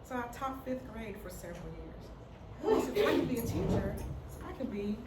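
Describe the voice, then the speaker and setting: A woman's voice: fairly high pitch, very animated, normal speed. A middle-aged woman who stutters tells her own story to an audience in a candid, humorous way. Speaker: speaker telling her story. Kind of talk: personal story talk to an audience. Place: hall before an audience. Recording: roomy sound from a distant mic with noise.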